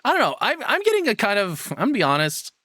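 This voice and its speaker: Bright, forceful man, dry close sound. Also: high-pitched, wildly swooping pitch, quick pace, no echo. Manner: rambling, frank, swears casually. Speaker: content creator reacting to a video. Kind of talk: casual reaction commentary.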